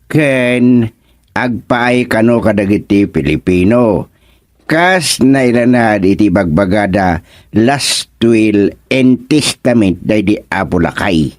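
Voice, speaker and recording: A man's voice: on the low side, expressive, slow. An actor playing an older, hesitant but curious village man in a comic radio serial, drawling and repeating words in an Ilocano accent. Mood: musing. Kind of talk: comedic radio serial dialogue.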